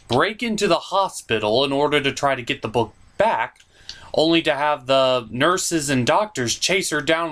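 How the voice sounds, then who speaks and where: A man with a fairly high voice delivers a scripted comedic review. His pitch moves around a lot, with theatrical emphasis and a mock-incredulous edge. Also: fairly bright tone.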